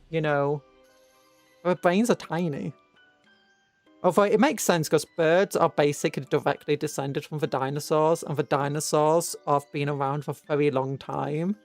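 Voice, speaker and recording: A British VTuber streamer chatting casually to viewers in a rambling, musing way, often stopping to correct themselves. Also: mid-range voice. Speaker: VTuber livestreamer. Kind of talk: casual livestream chat with viewers. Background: background music.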